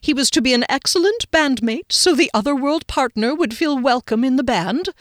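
Woman playing a pompous, indignant man, animated delivery. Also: medium pitch, normal speed, no room sound, studio quality. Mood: impatient. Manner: haughty, indignant, dismissive. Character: pompous, smug, easily affronted man, self-satisfied schemer. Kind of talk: audiobook character dialogue.

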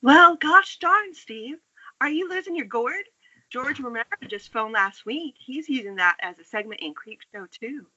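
A woman acting out a high-pitched, piercingly bright female character in an amateur script read. The sound is dull and lo-fi, like an internet call. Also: lively intonation, moderate pace, breathy edge, exaggerated voice. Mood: folksy scolding. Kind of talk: amateur table read of a screenplay.

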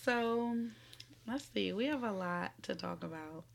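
A woman with a husky, cold-roughened voice talks into a microphone at home. She rambles, giggles and swears easily in a loose podcast chat. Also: mid-range voice, lively intonation, measured pace, a little hiss or hum. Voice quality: raspy. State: recovering from a cold. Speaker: podcast co-host. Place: home room. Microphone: studio microphone.

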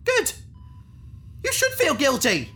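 A woman's voice: high-pitched, wildly swooping pitch, slow, a bit bright, full character voice. A woman playing an indignant, pleading captive in a science fiction audio drama, speaking in an earnest, protesting way. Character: indignant, pleading female captive victim on operating table.

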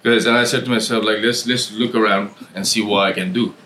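A man's voice: on the low side, steady tone, brisk, sharp tone, slight background noise. A man in his forties from Nepal, a restaurant owner and musician, telling his story in a loose, rambling chat full of fillers. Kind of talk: casual interview chat. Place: London.

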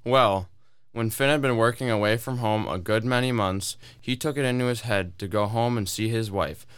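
A man narrating a folktale in a radio drama with an expressive, folksy storytelling manner. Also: on the low side, normal speed, clear, resonant tone, dry recording.

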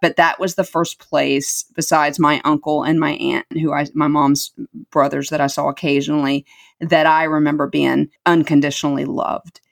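A woman's voice: low-pitched, level tone, normal speed, vocal fry. A middle-aged woman telling her life story as an interview guest, earnest and reflective, repeating words for emphasis.

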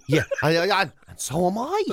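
A man's voice: sits high, wildly swooping pitch, moderate pace, dry recording, clean studio sound. An Irish man co-hosting a radio show with casual comedic banter, rambling, self-deprecating and free with swearing.

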